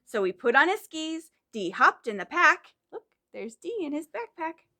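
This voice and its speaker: Very bright, high, animated woman for children. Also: normal speed. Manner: animated, encouraging, speaks directly to young children. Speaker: children's librarian leading storytime. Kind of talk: children's storytime with singing and reading aloud.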